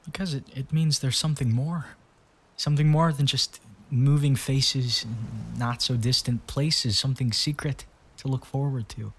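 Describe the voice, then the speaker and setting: A man's voice: mid-range voice, steady tone, normal speed, husky. An actor playing a blunt young man with an interest in the occult, who talks in a blunt, sometimes crude way. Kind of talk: audio drama acting.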